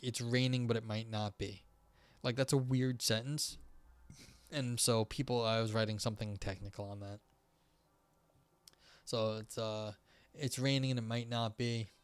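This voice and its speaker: A young man talking loosely to his stream chat, full of restarts, filler words and half-finished thoughts. His voice is smooth and clear but starting to wear hoarse. The sound is dry and close, with no room echo. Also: mid-range voice. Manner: rambling, many fillers and restarts. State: voice going hoarse. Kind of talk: casual live-stream chat.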